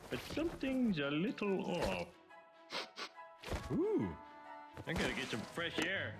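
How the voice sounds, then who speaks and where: A dim, impulsive cartoon husband in middle age, with a dopey, rounded voice that sits high and swings wildly. He takes his time, talks to himself and bursts into whiny exclamations. Loud music plays underneath. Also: heavily acted. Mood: sniffing, excited. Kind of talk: animated cartoon acting.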